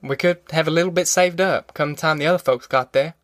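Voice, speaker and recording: A man's voice: fairly high pitch, steady tone, quick pace, fairly bright tone, no room sound, natural voice. A man acts out an older country uncle, practical and reasoning. The audiobook recording is dry. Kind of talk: audiobook character acting.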